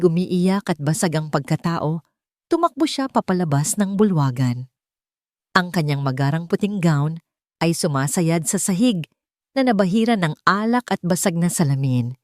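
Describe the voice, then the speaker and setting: A woman's voice: fairly low pitch, a little mellow, dry recording, studio quality. A woman reading a scripted dramatic audiobook as the story's narrator.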